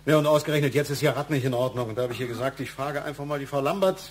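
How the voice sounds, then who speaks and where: A male actor playing a courteous, hesitant visitor in a German radio crime drama, polite and halting. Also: mid-range voice, a little hiss or hum, close to an everyday voice.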